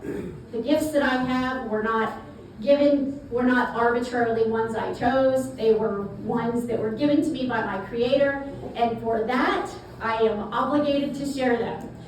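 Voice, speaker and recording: A woman's voice: a little high, measured pace. A middle-aged woman with a South Carolina accent, speaking as a guest at a networking event in a folksy, chatty way. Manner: folksy, chatty, repeats words. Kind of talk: networking talk to a live audience. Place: event space. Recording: echoey room from far off, noisy.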